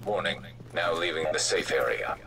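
An actor playing an impassive game AI system, making clipped announcements. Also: male voice, low voice, moderate pace, close to an everyday voice. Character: impassive male artificial intelligence system announcer. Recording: distant, noisy in-game system audio.

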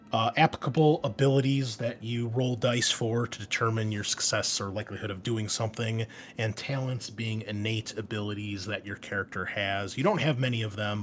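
Low-voiced man explaining game rules. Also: fairly bright tone, a little hiss or hum. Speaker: channel host and game master.

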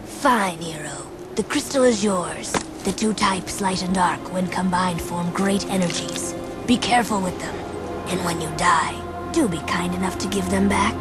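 A woman playing a stern, solemn monk leader in a video game, grave, deliberate and foreboding. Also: on the low side, loud music behind the voice, natural voice. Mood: grudging.

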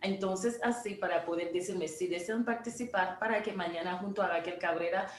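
Woman, from Haiti, 40s, low-ish voice, earnest explaining, home studio video call, echoey distant sound. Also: steady tone, brisk, slight background noise. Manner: earnest, explanatory, with small restarts. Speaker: image consultant guest. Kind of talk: remote interview over video call. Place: home studio room indoors. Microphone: video call from a laptop camera.

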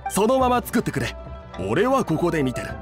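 A man's voice: high-pitched, very animated, fairly quick. A man voicing an earnest, friendly young male cook in a Japanese game, with casual, blunt masculine speech. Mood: confident. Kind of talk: Japanese anime game voice acting. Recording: music behind the voice. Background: background game music.

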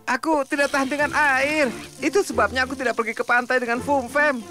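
A woman's voice: high voice, steady tone, brisk, close to an everyday voice. A woman voicing a fussy, timid talking road in a preschool cartoon, hesitant and fretful. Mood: fretful, disgusted. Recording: music under the voice.

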